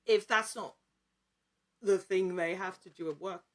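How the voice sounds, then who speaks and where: A woman speaking as an interview guest, chatting casually with halting, emphatic repetition. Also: mid-range voice, fairly even intonation. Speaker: actor and podcast guest.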